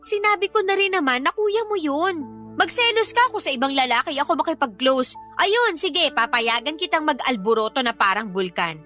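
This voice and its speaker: A defiant young Filipina mother in a radio drama. Her voice is high and very animated, full of sarcasm and scolding. Faint music plays underneath. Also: normal speed. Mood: indignant, sarcastic.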